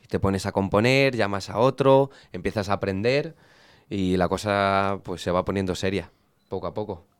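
A man's voice: low-pitched, fairly even intonation, normal speed, clean tone. A young man from Madrid, an easygoing band member telling stories and joking in a radio interview. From Madrid, Spain. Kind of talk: casual radio interview chat.

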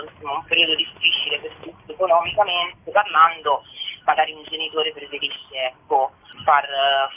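A woman's voice: low-pitched, a little hiss or hum. A woman being interviewed on radio, explaining casually and discursively, often qualifying what she says as she goes. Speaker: guest, member of a theatre collective. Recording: muffled thin phone-like radio sound.

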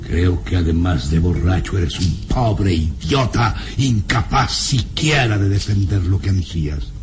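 An actor playing a cruel, domineering older baron in a radio serial, speaking with theatrical contempt. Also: male voice, deep voice, a little slow, music in the background. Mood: scornful, scolding. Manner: contemptuous, taunting, imperious.

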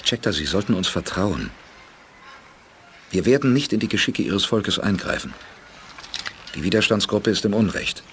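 A man's voice: fairly low pitch, animated, moderate pace, pauses often, fairly bright tone, a little hiss or hum. A man acting a resolute, terse space commander in a 1980s German sci-fi radio play. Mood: calm, secretive. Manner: terse, commanding, matter-of-fact. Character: resolute male space commander with a secret plan.

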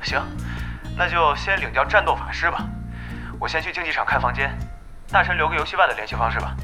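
An actor as a polite, higher-voiced young guild leader. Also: male voice, lightly acted. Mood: courteous, probing. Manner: courteous, measured. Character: polite, businesslike young man, ambitious gaming guild leader. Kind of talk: audio drama acting. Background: background music.

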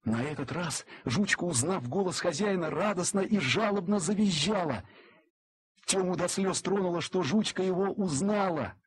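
A man narrating a literary story dramatically, with expressive theatrical delivery and deliberate pauses. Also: high-pitched, husky.

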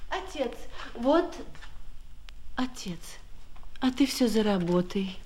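An actress in a Soviet radio play voicing a caring, gently fussing grown daughter, coaxing and solicitous. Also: female voice, on the high side, sing-song, takes it slowly, heavily acted.

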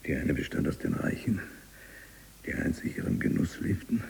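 An actor playing an embittered imprisoned libertine writer in a radio drama monologue, gravelly and breathy at times, brooding and deliberate in delivery. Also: male voice, extremely low pitch, expressive, a little mellow, slight background noise, heavily acted. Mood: bitter, confiding.

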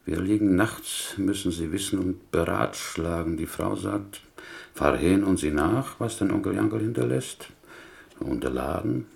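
In a radio drama, a gentle, wily butcher from Poland. His voice is low and gravelly. He muses and rambles piously, turning his sentences around in a Yiddish-style word order. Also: unhurried, exaggerated voice. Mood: musing, anecdotal. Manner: inverted Yiddish-style syntax, musing, rambling storyteller. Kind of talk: German radio play acting.

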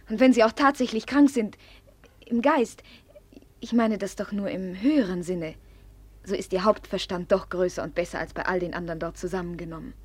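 An actress in a 1950s radio play playing a proud, impulsive young noblewoman who flares up imperiously and then drops to a hush. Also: mid-range voice, husky, dry recording.

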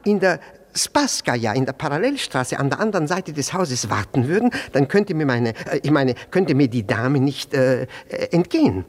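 A man's voice: on the high side, very animated, normal speed, hardly any pauses. A male actor in a German radio play, playing a flustered, jealous, nervously polite middle-aged husband who stammers and repeats himself. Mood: nervous, embarrassed. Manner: stammering, hesitant, repeats words, fussily polite.

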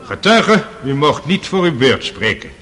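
A man's voice: a little high, very animated, measured pace, crowd noise. A man playing an authoritative presiding judge, formal and commanding, in a radio drama. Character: authoritative presiding judge keeping order in court.

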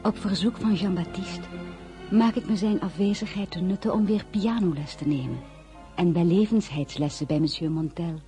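An actress playing a tender, wry young woman narrating her diary in a radio drama, soft, husky and breathy. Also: fairly low pitch, moderate pace, over music, lightly acted. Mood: wry, confiding.

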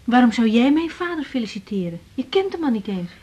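A woman's voice: a little high, big pitch swings, a little mellow, slightly rough, muffled recording. An actress playing a guarded, defiant young woman doctor in a radio drama. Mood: defensive. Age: young adult. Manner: evasive, curt, quick to retort. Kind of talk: 1980s Dutch radio drama acting.

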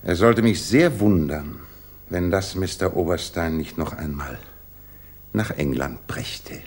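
Commanding sleuth, shrewd, precise, fairly low pitch, authoritative, radio drama. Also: male voice, animated, slow, a little hiss or hum, full character voice. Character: commanding, shrewd male detective laying a trap. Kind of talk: 1960s German radio drama acting.

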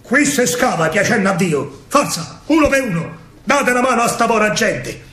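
A man's voice: high voice, sing-song, moderate pace, pushed and bright, reverberant, heavily acted. A man with a Roman accent playing a firm army captain giving urgent orders. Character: firm commanding army captain urging men to dig.

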